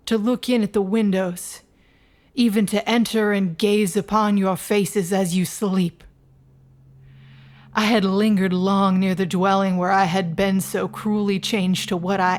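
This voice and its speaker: A woman playing the sorrowful ghost of a murdered wife and mother, speaking in a solemn, measured, formal literary monologue. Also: medium pitch, natural voice. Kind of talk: literary gothic monologue in an audio drama.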